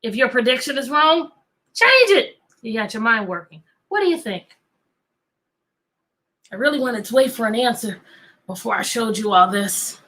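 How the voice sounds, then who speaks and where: A woman with a high, bright voice leads an interactive read-aloud for young children. She sounds like an animated teacher, coaxing her listeners along and repeating her questions so they will respond. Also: moderate pace, pauses often. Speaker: teacher reading a picture book aloud.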